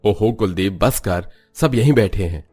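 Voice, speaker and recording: A man's voice: on the low side, unhurried, darkish tone, slightly rough. Man acting a placating cartoon husband, music underneath. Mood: embarrassed, placating. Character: placating husband in a Punjabi family.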